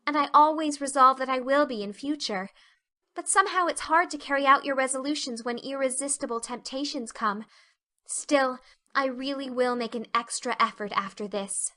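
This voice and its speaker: A woman voicing an excitable, dreamy young girl in an audiobook, gushing with rapture. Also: high-pitched. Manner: gushing, effusive, breathless exclamations. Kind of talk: audiobook character acting.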